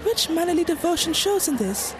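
Passionate young girl in love, played by a young woman, high-pitched, earnest Shakespearean verse, audio play. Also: sing-song, brisk, low-quality recording. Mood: coy. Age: young adult. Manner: earnest, poetic verse delivery. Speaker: student actor. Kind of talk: Shakespearean audio drama acting.

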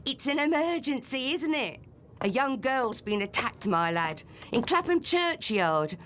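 An actress in an audio drama playing a brisk, bossy, sarcastic landlady. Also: high-pitched, very animated, a bit bright, a little hiss or hum, full character voice. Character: brisk, cheeky, sarcastic London landlady, bossy older matron.

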